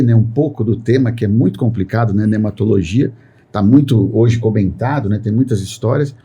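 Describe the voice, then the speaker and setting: A man's voice: fairly low pitch, dark tone, a little hiss or hum. A man hosting a podcast, speaking in long rambling sentences that he keeps tagging with filler questions. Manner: rambling, constant "né" tag fillers. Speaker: podcast host. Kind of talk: podcast conversation.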